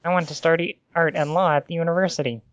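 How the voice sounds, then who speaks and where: A man's voice: a little high, fairly even intonation, fast talker, no echo, highs cut off, close to an everyday voice. A man playing a mild, lovestruck teenage schoolboy in an amateur fan fiction read. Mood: mild, offhand.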